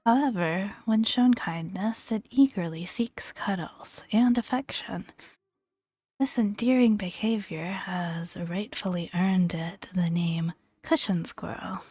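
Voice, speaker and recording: A woman hosting a soft-spoken ASMR gaming video, talking gently and fondly in a hushed voice that often slips toward a whisper. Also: lowish voice, slightly rough, no echo. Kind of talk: soft-spoken ASMR, relaxed commentary and read-aloud entries.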